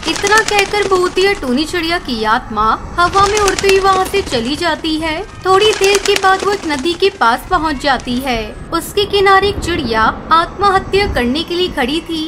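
A woman's voice: very high-pitched, expressive, moderate pace, few pauses. A woman narrating a scripted children's story as the storyteller. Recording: clear background noise and ambience.

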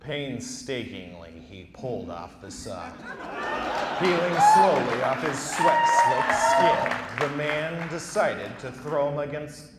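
A man's voice: mid-range voice, wildly swooping pitch, slow. A man telling an improvised comic story live on stage through a handheld microphone. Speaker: improv comedy performer. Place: theatre stage before an audience. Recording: echoey hall, noisy live crowd.

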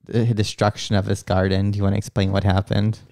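Low-voiced man hosting a podcast. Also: monotone, fast, creaky, a little mellow, no echo, studio quality. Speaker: podcast host. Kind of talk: casual chat.

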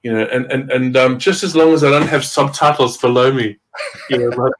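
A man's voice: medium pitch, fairly even intonation, a little fast, a little hiss or hum, poor audio. A middle-aged man from Zimbabwe, a podcast guest chatting casually on a video call from home, rambling with many fillers and restarts. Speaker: podcast guest, wreck diver. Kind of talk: casual chat. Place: home room with window.

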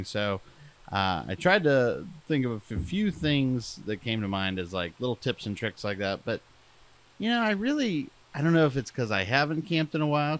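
Easygoing rambling man, slightly low voice. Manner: rambling, self-deprecating, many restarts. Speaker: podcast co-host. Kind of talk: casual podcast chat.

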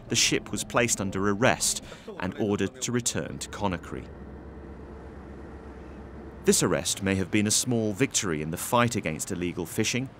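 A man giving a scripted documentary narration in a measured, even voice. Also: lowish voice, slight background noise. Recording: voice-over with music bed. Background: music.

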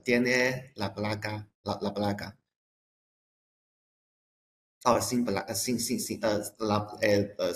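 A man presenting products in a webinar, halting and hesitant, often repeating words and breaking off phrases. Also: lowish voice, a little hiss or hum.

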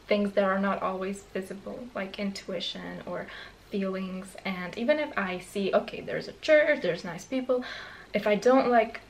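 Gravelly young Romanian woman vlogging. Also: medium pitch, moderate pace, a little hiss or hum, low-quality recording. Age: 20s. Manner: candid, rambling, lots of fillers and restarts. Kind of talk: casual talk to camera. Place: small bedroom indoors.

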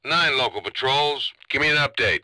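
A man playing an urgent highway patrol officer in a video game, calling in with clipped phrases over police radio. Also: lively intonation, normal speed, sharp tone, dry recording.

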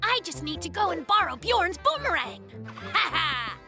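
A very high, animated cartoon voice for a boastful little boy bear cub. The delivery is theatrical and excitable, swinging widely in pitch. Loud music plays behind it. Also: moderate pace, heavily acted. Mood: eager. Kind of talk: children's cartoon voice acting.

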